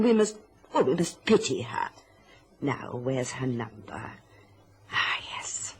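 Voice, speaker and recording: Bossy greedy mother in a radio play, gushy darlings, pitch all over the place, bit noisy. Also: a little mellow, heavily acted. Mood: calculating, falsely pitying. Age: middle-aged. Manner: gushing endearments, imperious orders. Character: domineering, scheming, covetous mother with a silky-sweet false warmth. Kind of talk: radio drama acting.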